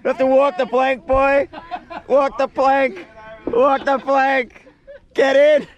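An Australian man chatting excitedly while fishing on a boat, often repeating himself as he calls out. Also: extremely high pitch, slow pace, highs cut off. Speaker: host of a fishing and camping vlog. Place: outdoors on a small boat at sea. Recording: noisy outdoor boat recording.

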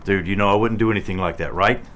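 A man's voice: lowish voice, fast talker, close to an everyday voice. A middle-aged man playing a guilty, apologetic best man in a dramatic short film. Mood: defensive, apologetic. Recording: loud music behind the voice.

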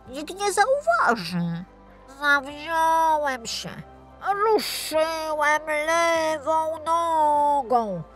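Woman as a bright, high-pitched fretful teddy bear. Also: exaggerated voice. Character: anxious, chatty little male teddy bear statue. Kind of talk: children's audio story acting.

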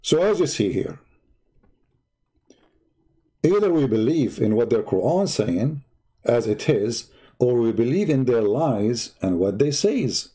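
A man whose first language is Arabic, hosting a heated live stream and hammering at his opponents with mocking rhetorical questions. His voice is dark and a bit husky, with a combative edge. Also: medium pitch, very animated, a little slow, long pauses. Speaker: online debate host.

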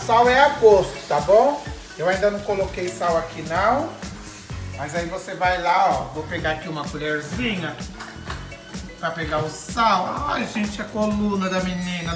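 A middle-aged woman with a Brazilian Portuguese accent hosts a casual cooking demonstration in her home kitchen. She talks in a flamboyant, campy way, full of slang and playful exclamations. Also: medium pitch. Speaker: cooking show host. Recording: echoey kitchen with music behind.

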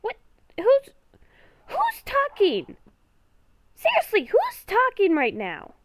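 Woman playing a high-pitched, nervous young werewolf girl. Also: huge pitch swings, dry recording, full character voice. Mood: frightened, pleading. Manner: halting, stammering, repeats herself. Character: nervous young woman werewolf, hearing ghostly voices at night. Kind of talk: improvised Minecraft roleplay.

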